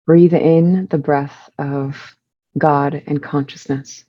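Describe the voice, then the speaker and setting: A woman leading a guided meditation, giving soothing instructions in measured short phrases with pauses between them. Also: sits low, fairly even intonation, lo-fi sound.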